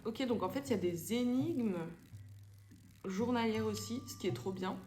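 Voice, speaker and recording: A young woman from France chats her way through a cozy game, hesitant and full of fillers, slipping in English phrases. Her voice sits a little high. It rings in an echoey room over game music. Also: normal speed, warm tone. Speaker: gaming YouTuber. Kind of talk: casual let's-play commentary.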